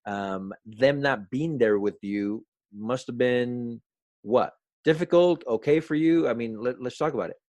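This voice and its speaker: A man hosting an interview, asking halting, encouraging questions with frequent restarts. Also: mid-range voice, a little mellow, no echo. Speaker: host. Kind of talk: casual interview conversation.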